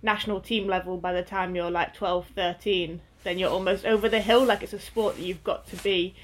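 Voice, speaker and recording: A woman's voice: fairly low pitch, steady tone, fairly quick, slight background noise, rough recording. A woman in her twenties from Northwest England, a guest athlete chatting casually in an interview, with lots of fillers and easy laughter. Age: 20s.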